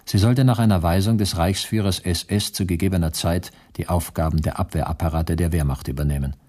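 A man acting as a former prisoner who narrates a radio play in a sober, formal, husky voice. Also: low-pitched, steady tone, darkish tone. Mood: sober, detached. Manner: measured, matter-of-fact, formal. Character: sober male former prisoner recounting camp events.

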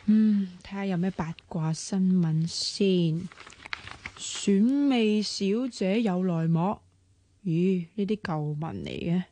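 An actress playing a sharp-tongued, bossy, excitable young woman in a radio drama, chatty and expressive, with a Hong Kong Cantonese accent. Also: lowish voice, slow, slight background noise. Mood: curious.

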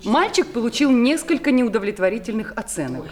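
A woman's voice: a little high, expressive, a little fast, a little mellow, close to an everyday voice. Woman playing a prim schoolteacher. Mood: formal. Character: prim schoolteacher leading the meeting. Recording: crowd of voices behind.

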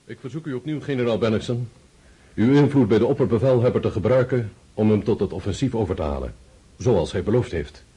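A man acting an earnest, blunt staff general in a radio play, measured and dryly ironic. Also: on the low side, dark tone, husky.

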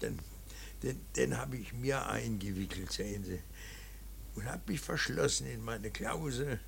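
A man acting in a radio drama as an earnest, preachy older painter and father, emphatic, repetitive and halting. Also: mid-range voice, expressive, a little hiss or hum. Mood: reverent, moved. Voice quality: gravelly, husky. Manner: emphatic, repeating words, halting.

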